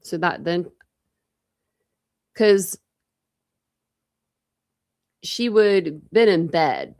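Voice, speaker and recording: A woman's voice: fairly low pitch, animated, very slow, gravelly, a little mellow. A woman in her forties hosting a casual true crime livestream, thinking aloud and rambling, with a slight lisp from a dental retainer. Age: 40s. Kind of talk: casual livestream chat with viewers.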